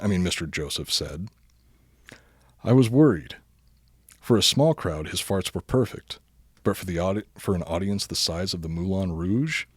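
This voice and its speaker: A man with a deep voice reads a silly bedtime story for a podcast. His delivery is deadpan, though he is prone to breaking into giggles. The sound is very dry, with hardly any room to it. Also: breathy edge, no room sound. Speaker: podcast host reading a story. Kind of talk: comic bedtime story reading.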